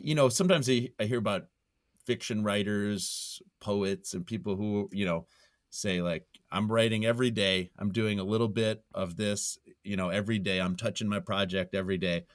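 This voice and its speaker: A man with a fairly low voice talks as a writer being interviewed, casual and reflective. He feels his way through each thought, often repeating a phrase before moving on. The sound is close and dry. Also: a bit bright, no echo. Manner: halting, thinking aloud, circles back to repeat phrases. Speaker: podcast guest, nonfiction writer.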